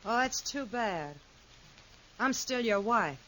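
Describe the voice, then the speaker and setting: Vain, scheming frontier widow, coy then scornful, old radio play. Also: female voice, on the high side, animated, slow, a little hiss or hum, low-quality recording. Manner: coy and sweet, turning sharp and scornful. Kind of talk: 1950s radio drama acting.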